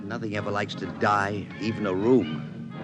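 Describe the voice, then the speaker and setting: An actor playing a wary, wry doctor in a 1950s radio drama. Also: male voice, on the low side, moderate pace, music in the background, close to an everyday voice. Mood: wry, uneasy. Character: wary, wry doctor, a nervous male family friend.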